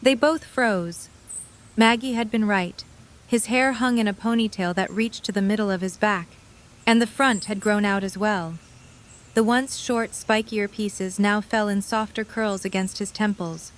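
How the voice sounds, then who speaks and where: A woman serves as narrator, reading a scripted story. Her voice is of middle pitch, delivering third-person prose for an audio drama. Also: steady tone, unhurried.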